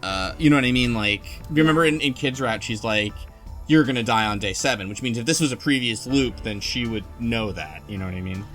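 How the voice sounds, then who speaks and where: A man's voice: medium pitch, fairly quick, fairly bright tone, soft background music. A man talking casually in a book club discussion, speculating and thinking aloud as he goes. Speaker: podcast host.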